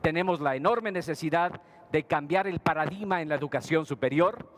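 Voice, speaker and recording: A man delivering a formal conference speech in a steady, measured way, with a few brief hesitations. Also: on the high side. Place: conference hall panel.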